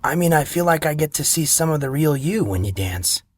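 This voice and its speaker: A man's voice: a little high, very animated, a little fast, no echo, voice pushed up. A man voicing a wry, sarcastic teenage boy, easily exasperated. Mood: gentle. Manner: sarcastic, dry.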